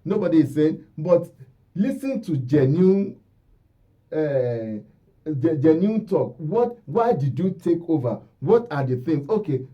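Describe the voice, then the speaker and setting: A man's voice: a little high, expressive, slow, recorded from a distance. A Nigerian man speaking as a guest commentator in a radio discussion, emphatic and repeating phrases for stress. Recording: dull, lo-fi radio audio.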